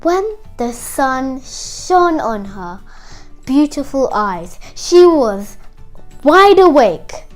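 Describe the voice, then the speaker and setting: A young girl tells a fairytale to other children as the host. Her voice is high and very lively, with an unhurried, chatty singsong delivery over music. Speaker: storytelling host of a kids' show.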